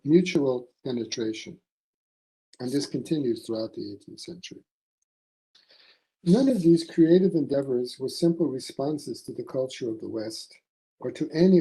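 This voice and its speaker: A man presents a scholarly paper in a formal, read-aloud style. His voice sits at a middle pitch with a very dark, soft-edged tone. He phrases deliberately, setting out each segment in a measured way. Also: rough recording. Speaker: academic presenting a conference paper. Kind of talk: formal scholarly lecture read from a prepared text.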